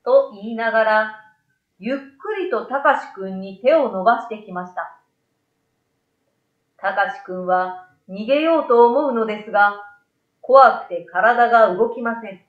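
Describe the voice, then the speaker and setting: A woman's voice: on the high side, measured pace, pauses often. A middle-aged woman reading a children's story expressively as a storyteller into a desktop microphone in a small room. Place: small bright indoor room. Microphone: desktop condenser microphone to the side. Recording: roomy, slightly dull sound.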